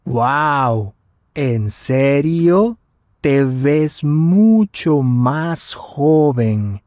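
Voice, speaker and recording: A man's voice: on the high side, expressive, very slow, darkish tone, no echo, studio quality. A man voicing Daniel, a curious traveler in his twenties, in a scripted lesson dialogue with careful delivery. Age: 20s. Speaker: voice actor in language lesson dialogue. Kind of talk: scripted slow and normal-speed lesson dialogue.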